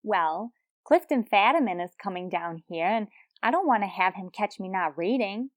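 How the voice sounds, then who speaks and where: A woman's voice: medium pitch, expressive, moderate pace, no echo, clean studio sound, close to an everyday voice. A woman playing a scatterbrained, pretentious tavern chatterbox with an earnest manner in a radio comedy. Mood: airy, earnest. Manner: earnest, rambling, indignant. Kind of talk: old-time radio comedy acting.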